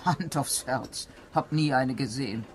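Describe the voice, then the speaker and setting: A woman's voice: very deep voice, measured pace, slight background noise. A woman playing a gossipy country-house housekeeper in a German mystery radio play, telling things in a confiding, dramatic way.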